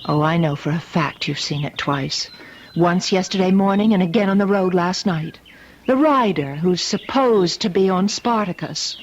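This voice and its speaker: An actress playing a concerned, affectionate young fiancée in an old-time radio drama, soothing and questioning. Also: female voice, fairly low pitch, normal speed, lightly acted. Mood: probing. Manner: soothing, solicitous. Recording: dull old radio recording.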